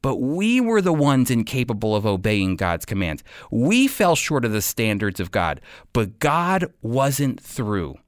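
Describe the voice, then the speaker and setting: Expressive male radio Bible teacher, emphatic delivery. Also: mid-range voice, no echo, studio quality. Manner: emphatic, deliberate, with weighty pauses. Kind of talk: radio sermon and commentary.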